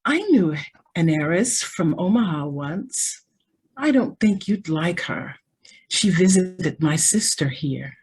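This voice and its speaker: A woman acts a spoiled young heiress in a radio play. Her slightly husky voice takes its time and swings freely up and down, girlish and breezy, with a naive callousness that easily tips into petulance. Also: lowish voice, expressive, slow pace, a little mellow, heavily acted. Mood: coy, airy. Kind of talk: old-time radio drama acting.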